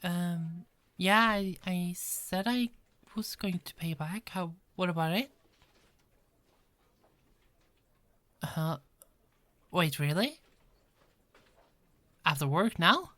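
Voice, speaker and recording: A frazzled, friendly female police detective, acted by a woman in a one-sided ASMR roleplay. Her voice sits a little low and moves slowly, stumbling and repeating words in an exasperated, apologetic way. The sound is very dry, with no room around it. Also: lively intonation, a bit bright, no echo. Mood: shy, pleased. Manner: hesitant, stammering, repeats words.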